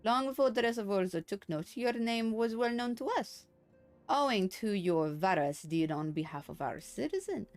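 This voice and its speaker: A woman voicing a courtly, flattering military recruiting officer from a fantasy game, formal and earnest. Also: mid-range voice, normal speed, natural voice. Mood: admiring, rallying. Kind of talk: video game dialogue read aloud. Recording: game music behind the voice.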